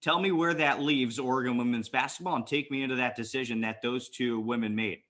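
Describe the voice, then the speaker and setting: Sports interview host, male, mid-range pitch, sharp forceful tone. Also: brisk. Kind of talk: prepared radio interview introduction.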